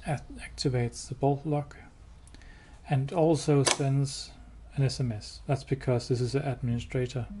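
A man giving a hands-on product demo indoors, explaining step by step in a halting, matter-of-fact way. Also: medium pitch. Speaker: product demonstrator. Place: indoors, showroom with wall-mounted devices. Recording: clear background noise.

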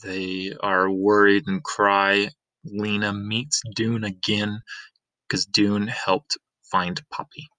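A man's voice: lowish voice, little pitch movement, slow, bright, forceful tone, no room sound, poor audio. A middle-aged man reading a graphic novel aloud as a teacher, explaining emphatically and repeating key points. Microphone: webcam screen recording.

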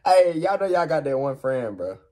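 A young man hosting a music reaction, hyped and slangy, swinging from loud exclamations to mumbled asides in a small bedroom. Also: on the high side, big pitch swings, moderate pace. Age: young adult. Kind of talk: excited reaction commentary.

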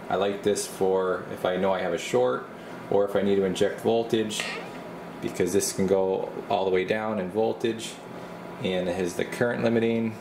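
A man's voice: on the low side, vocal fry. A man giving an informal, unscripted show-and-tell tour as host, explaining things casually while holding the camera in a small workshop. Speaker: host of a radio repair channel. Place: small indoor workshop at an electronics bench. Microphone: handheld camera mic, speaker behind camera. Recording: roomy, with steady air-conditioner-like noise.

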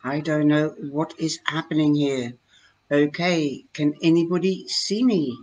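An older woman with a Dutch accent, talking slowly into her webcam at home and explaining her fortune-telling cards in a chatty, hesitant way. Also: low voice, darkish tone, low-quality recording. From Netherlands. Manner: slow, chatty, with many hesitations. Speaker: tarot reader. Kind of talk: live-streamed card reading talk. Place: home room, indoors. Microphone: webcam at desk.

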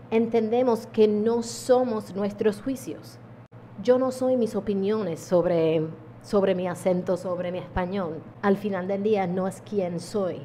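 A woman, calmly teaching a mindfulness lesson to camera, with deliberate pauses. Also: medium pitch, a little hiss or hum. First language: English. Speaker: yoga teacher. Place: indoor room at home. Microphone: small handheld lavalier mic held close.

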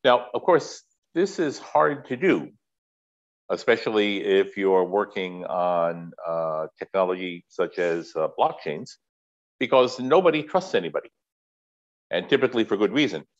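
A man's voice: sits low, animated. Older man lecturing over a dull call. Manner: measured, hesitant with frequent throat clearing. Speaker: keynote speaker. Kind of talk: academic lecture. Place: home office, indoors. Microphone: headset. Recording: dull lo-fi video call audio.

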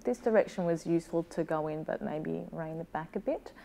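Low-voiced, hesitant Australian woman. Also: a little fast, gravelly, mellow. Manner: hesitant, thoughtful, self-deprecating. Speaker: playwright being interviewed. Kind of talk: casual interview chat. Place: small room, seated indoors.

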